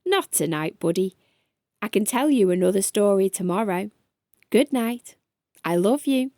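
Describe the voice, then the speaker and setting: A woman's voice: mid-range voice, lively intonation, unhurried, warm tone, dry recording, clean studio sound. A woman voicing an affectionate, soothing father saying goodnight in a children's storybook. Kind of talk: children's storybook character reading.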